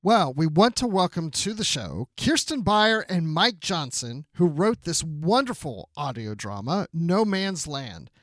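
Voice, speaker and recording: Man, slightly high voice, very animated intonation, playful podcast co-host, casual conversation. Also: measured pace, few pauses, no room sound. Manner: playful, joking.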